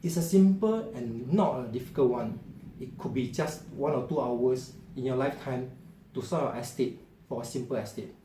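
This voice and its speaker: A man's voice: medium pitch, moderate pace, mellow. Earnest Singaporean man in echoey room. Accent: Singaporean English. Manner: earnest, loose and conversational with frequent restarts. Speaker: financial planner and author. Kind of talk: direct appeal to viewers. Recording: echoey room with faint ambient noise.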